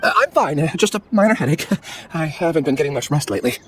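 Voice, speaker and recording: An actor playing a troubled, self-doubting young amnesiac scholar in a video game, hesitant and earnest. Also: male voice, on the high side, expressive, fast, noisy background, lightly acted. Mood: uneasy, reassuring. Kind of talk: scripted video game dialogue.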